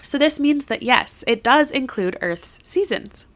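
A woman hosting her own podcast, talking earnestly and reassuringly while a little hoarse and congested from a cold, recorded in a home basement. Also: high voice, animated, a little slow. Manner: earnest, encouraging, gently reassuring. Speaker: podcast host. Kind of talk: solo lifestyle podcast monologue.

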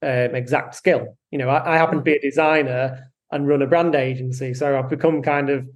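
A man hosting an interview, friendly and a little halting, with frequent restarts. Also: medium pitch, fairly even intonation. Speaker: podcast host.

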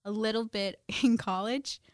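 A woman's voice: on the high side, steady tone, unhurried, dry recording, studio quality. Bubbly young woman guest, laughing often. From Guyana. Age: 20s. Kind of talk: casual interview chat.

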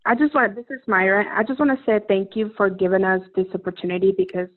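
A woman speaks earnestly and haltingly, keeping her pitch fairly flat. Her voice comes through thin and tinny, as if over a phone line. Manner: earnest, halting, many fillers. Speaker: guest employee. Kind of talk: casual studio chat.

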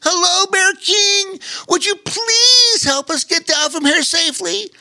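High little otter kid, calling out all eager, lively swoops. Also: unhurried, full character voice. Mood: pleading. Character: young male otter, eager and excitable.

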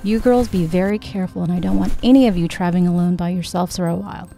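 A woman's voice: fairly low pitch, steady tone, fast talker, creaky, dark tone, faint music behind. A woman voicing an anxious, protective grandfather who is a private investigator in an audiobook, with terse questions. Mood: serious.

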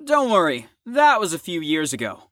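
A man's voice: on the high side, wildly swooping pitch, normal speed, a bit bright, no room sound, clean studio sound. A man playing a cocky, crude fifteen-year-old boy in an audio drama, with a smug, slangy drawl. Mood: flippant.

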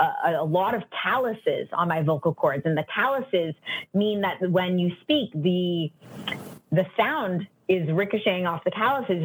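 A middle-aged woman with a raspy voice, talking candidly and casually as a podcast guest. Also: fairly low pitch, slight background noise, rough recording. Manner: candid, chatty, self-deprecating.

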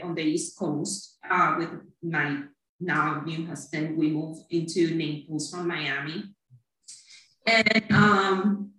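A woman's voice: mid-range voice, fairly bright tone. A middle-aged woman from Cuba, chatting about her career as an accountant with many fillers. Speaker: accountant guest. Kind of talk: conversational interview. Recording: echoey, distant from mic.

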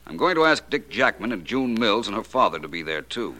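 An actor playing a shrewd, unflappable detective with polished, wry phrasing in 1940s radio drama style. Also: male voice, fairly low pitch, big pitch swings, fairly quick, bright, forceful tone, exaggerated voice. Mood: smooth menace. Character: suave, shrewd, unflappable male private detective.

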